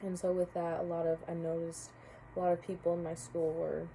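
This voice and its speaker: Soft-spoken young woman, low and mellow. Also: monotone, brisk, a little hiss or hum. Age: young adult. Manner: hesitant, many fillers and restarts. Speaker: college student. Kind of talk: casual spoken class reflection.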